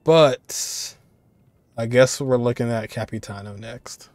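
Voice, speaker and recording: A man's voice: medium pitch, slow, a little mellow, no echo. A man in his twenties giving informal game reaction commentary, musing and thinking aloud. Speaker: reaction video host.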